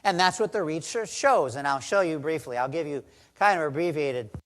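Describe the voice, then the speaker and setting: A man's voice: on the high side, fairly quick, a bit bright. A man giving a recorded lecture in a preachy way, full of rhetorical questions. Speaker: lecturer in a played video.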